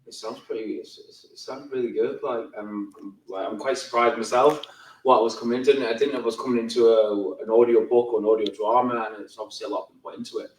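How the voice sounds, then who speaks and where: A man in an audience making a casual comment, halting and repeating his phrases. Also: mid-range voice, fairly even intonation. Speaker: audience member asking a question. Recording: distant, roomy, faint background noise.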